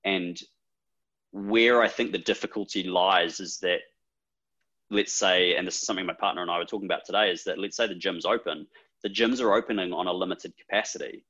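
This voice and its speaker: A man's voice: low voice, steady tone, brisk, bright, forceful tone, muffled recording. A man with a New Zealand accent hosting a casual podcast, talking things through thoughtfully with plenty of fillers. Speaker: podcast host.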